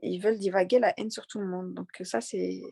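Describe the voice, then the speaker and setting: A young woman with a light Moroccan accent speaks earnestly, stumbling over repeated words as she answers. Her voice sits in a middle pitch. The recording is close and dry, with no room sound. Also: fairly even intonation, vocal fry, warm tone, breathy edge, no echo. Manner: earnest, halting with many fillers and repeated words. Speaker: interviewee. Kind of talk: conversational interview.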